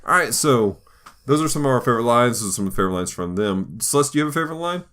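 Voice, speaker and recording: A man's voice: mid-range voice, expressive, rapid-fire, a bit bright. A man hosting a podcast in a casual, jovial way, laughing easily as he talks. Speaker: podcast host.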